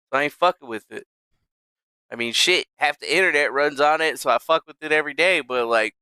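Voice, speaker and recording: Brief: cocky male streamer, bright forceful voice, dry close sound, sarcastic rambling rants. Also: a little high, smooth, no echo. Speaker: streamer host. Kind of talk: casual profane rant.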